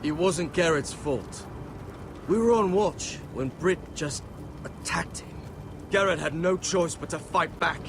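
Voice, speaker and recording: Blunt young watchman, highish voice, noisy. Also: measured pace. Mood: insistent. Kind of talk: dramatic video game acting. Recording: noisy game audio with ambience.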